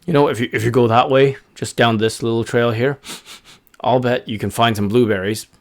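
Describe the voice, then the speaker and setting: A man voicing a big, gentle, friendly male wolf in a children's story, amiable and thoughtful in his pauses. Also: medium pitch, fairly even intonation, close to an everyday voice. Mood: helpful. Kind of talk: improvised children's story character voice.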